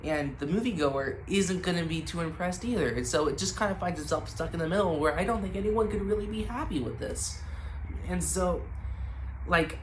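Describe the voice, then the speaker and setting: A man's voice: high-pitched, moderate pace, slight background noise, low-quality recording. A man with a congested, stuffy voice giving a casual movie review, rambling and hesitant with lots of ums and restarts.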